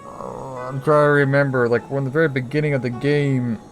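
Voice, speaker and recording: A man's voice: medium pitch, steady tone, a little fast. A man giving casual, reactive commentary on a video game, thinking aloud informally and swearing now and then. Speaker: gamer recording a playthrough. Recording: game music behind voice. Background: video game music.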